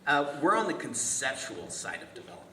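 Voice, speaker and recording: A man's voice: mid-range voice, huge pitch swings, fairly quick, sharp tone. A man playing an insufferably pleasant, patient, earnest family man in a script table read, chatty and hesitant. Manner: hesitant, chatty, apologetic, stammering. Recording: echoey room from far off, noisy.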